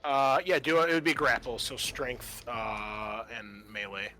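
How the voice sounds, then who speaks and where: A man's voice: medium pitch, fairly even intonation, measured pace, bright, forceful tone, faint music behind. A man acting as game master, narrating a tabletop roleplaying game in casual, sweary language.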